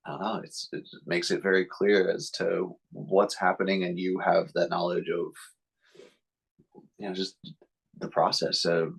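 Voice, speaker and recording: A man's voice: low-pitched, level tone, measured pace, vocal fry, slightly rough, low-quality recording. A man from Vermont talking casually as an interview guest over a video call, often searching for his words.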